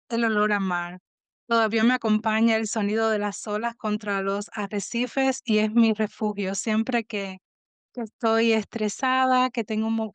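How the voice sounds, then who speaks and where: Cuban woman, thirties, interview guest; reflective, halting delivery; bright clean tone, dry booth. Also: on the high side, clear, resonant tone, dry recording. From Cuba. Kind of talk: casual interview.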